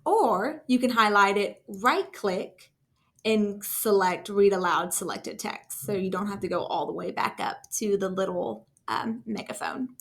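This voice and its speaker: A woman talking through a casual screen-share software tutorial as a trainer, in an informal, enthusiastic and encouraging way. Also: mid-range voice, a little slow, vocal fry. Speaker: school district technology trainer.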